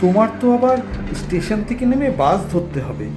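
A very animated male cartoon voice for a younger office colleague. He sounds anxious, kindly and deferential, and music runs behind him. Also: high-pitched, fairly quick. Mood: worried. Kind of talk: Bengali cartoon voice acting. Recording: loud music bed over dialogue.

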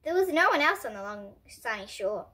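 A child reading a storybook aloud in an expressive, careful way, occasionally stumbling over words. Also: medium pitch, normal speed. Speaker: host of a children's book reading series.

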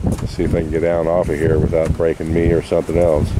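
Low, flat-voiced man in loud wind. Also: quick pace. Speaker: vlogger. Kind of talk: casual handheld vlog commentary. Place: open seashore outdoors. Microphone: handheld camcorder, held by speaker. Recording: very noisy windy outdoor camera audio. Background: loud wind noise.

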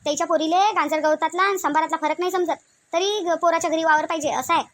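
Nagging middle-aged mother-in-law played by a woman for comic cartoon; very high pitch, rapid Varhadi delivery, sharp-tongued. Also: steady tone. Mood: grumbling. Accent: Varhadi Marathi of Vidarbha. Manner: complaining, scolding, rhetorical questions.

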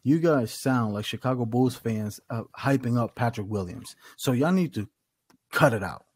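A husky-voiced American man, recorded dry and close on a desktop mic. He argues his opinions with emphasis and plenty of slang, in the loose style of a podcast chat between friends. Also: medium pitch, lively intonation, normal speed, darkish tone, no room sound. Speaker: podcast co-host. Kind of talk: casual wrestling review chat. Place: small room at home, on a video call. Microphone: desktop condenser microphone, close.

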